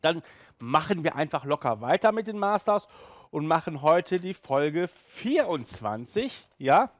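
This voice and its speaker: Chatty man with a highish voice. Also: very animated, unhurried, no room sound, clean studio sound. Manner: chatty, colloquial. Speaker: host of an audio drama review show. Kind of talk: casual video review to camera. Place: small room with sofa and shelves, indoors.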